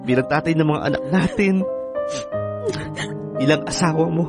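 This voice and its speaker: An actor playing a weary, wounded husband and father in a radio drama, speaking haltingly and pleadingly. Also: fairly high pitch, vocal fry. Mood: apologetic, resolute. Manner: halting, pleading, repeats words. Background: background music.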